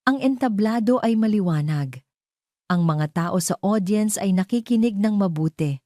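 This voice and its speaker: A woman narrating a scripted romance drama as a storyteller, in a measured, solemn manner. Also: mid-range voice, lively intonation, mellow, dry recording, studio quality.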